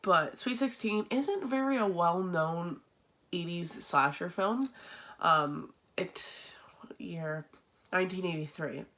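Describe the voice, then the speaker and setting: A woman's voice: fairly low pitch, slow pace, fairly bright tone. A young woman chatting casually to camera as a horror video host, sounding nasal and stuffy from a sinus infection, with frequent ums and restarts. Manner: chatty, lots of ums and restarts, laughs often. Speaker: horror movie YouTuber.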